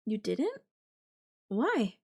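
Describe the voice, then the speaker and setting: Woman performing a possessive girlfriend, audio roleplay, falsely sweet and coy, animated pitch, unhurried hesitant delivery. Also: breathy edge, full character voice. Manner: hesitant, drawn-out, coy delivery with hums and pauses. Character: clingy, possessive yandere girlfriend, feigning sweet innocence. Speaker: voice actor. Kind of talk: girlfriend audio roleplay, one side of a call.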